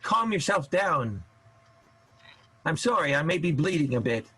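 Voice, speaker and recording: A man playing a wounded, desperate outlaw in an improvised radio soap opera, husky, plaintive and melodramatic. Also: on the high side, very animated, a little slow, a bit bright, soft background music, exaggerated voice. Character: wounded, desperate vaudeville outlaw hiding in a furnace room.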